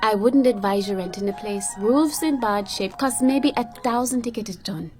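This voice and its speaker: An actress playing a cheeky, warm-hearted young Jamaican woman who manages a bar in a radio drama, teasing and frank in an unhurried way. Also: medium pitch, soft background music, close to an everyday voice. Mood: chatty, playful.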